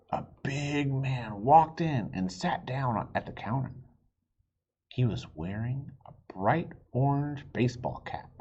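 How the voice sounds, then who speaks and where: A man reading a children's book aloud in an expressive way, with occasional asides and stumbles. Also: mid-range voice, breathy edge, dull audio. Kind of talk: animated read-aloud narration.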